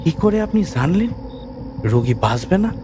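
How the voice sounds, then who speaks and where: Man acting higher-voiced, earnest village physician. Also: very animated, normal speed, background noise. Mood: respectful, puzzled. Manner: pleading, deferential. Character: humble, earnest village physician, respectful and amazed. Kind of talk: dramatized horror audio story.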